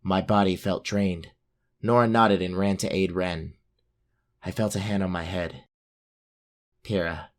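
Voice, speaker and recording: A man's voice: sits low, steady tone, unhurried, pauses often. A man playing a brave but self-doubting young fantasy warrior, narrating his own story in an audio drama. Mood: earnest narration.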